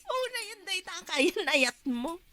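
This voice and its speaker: A woman's voice: very high-pitched, very animated, unhurried, pushed and bright, exaggerated voice. A woman with a Filipino accent playing a nagging, meddlesome middle-aged mother in an Ilocano radio drama, exclaiming and scolding. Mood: prying.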